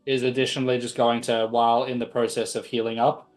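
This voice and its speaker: A man's voice: mid-range voice, level tone, fast. A man playing a tabletop game, explaining his moves in casual, conversational talk.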